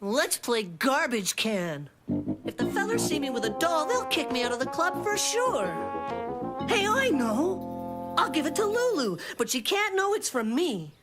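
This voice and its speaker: Cartoon casting: melodramatic, boastful little boy, wildly swooping pitch, slow delivery over music. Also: wildly swooping pitch, heavily acted. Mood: scheming, boastful. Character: scheming, boastful little boy, easily embarrassed. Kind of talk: children's cartoon voice acting.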